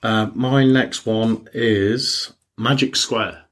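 A man co-hosting a casual music podcast, often halting and searching for words. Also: medium pitch, fairly even intonation. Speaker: podcast co-host.

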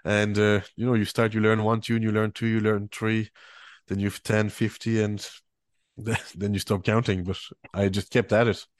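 Swiss-French man, low voice, dry sound. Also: level tone, normal speed, no echo. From French-speaking Switzerland. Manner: hesitant, many fillers and restarts. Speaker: musician and guest. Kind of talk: relaxed interview chat.

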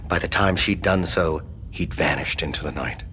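An actor playing the ominous narrator of a supernatural horror audio drama, speaking in a measured, suspenseful, hushed way. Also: male voice, deep voice, slight background noise. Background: music.

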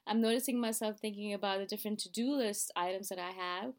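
A woman's voice: mid-range voice, quick pace. A woman from Canada, hosting her podcast in a casual, confiding monologue that keeps addressing "you guys". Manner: chatty, confiding, often says "you guys". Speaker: podcast host.

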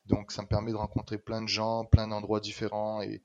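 Brief: middle-aged Réunion man, Réunionese accent with Québécois hints, deep-ish voice, emphatic and lively guest. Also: brisk, muffled recording, heavy background noise. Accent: Réunionese French with some Québécois color. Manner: lively, emphatic, repeats words for stress. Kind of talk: casual conversation.

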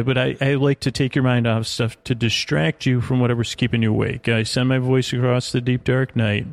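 A man hosting a sleep podcast, delivering a halting, meandering bedtime monologue full of stammers and digressions, in a gravelly voice. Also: mid-range voice, no room sound.

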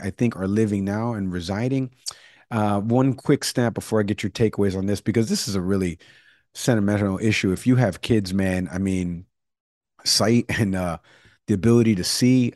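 A man's voice: fairly low pitch, darkish tone, no echo, studio quality. A man hosting a talk show, sharing a news story in a loose, rambling way with many fillers and restarts. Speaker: show host. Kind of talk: casual news talk segment.

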